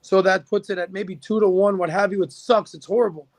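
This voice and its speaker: A man's voice: high-pitched, fairly even intonation, fairly quick, no room sound. A man in a casual podcast chat, giving firm opinions backed by figures, with lots of fillers and restarts. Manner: opinionated, argues with numbers, many fillers. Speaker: podcast guest.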